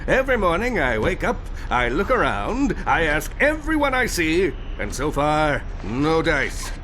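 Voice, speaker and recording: A blustering vain alien president. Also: male voice, a little high, wildly swooping pitch, measured pace, pushed and bright, slight background noise, heavily acted. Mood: pompous. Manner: blustering, evasive, self-important. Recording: game cutscene with clear music.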